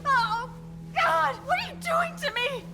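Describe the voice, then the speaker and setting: Very shrill wounded woman captive. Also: measured pace. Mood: agonized. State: crying out in pain. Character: wounded, anguished female captive, defiant and despairing. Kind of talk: video game cutscene acting.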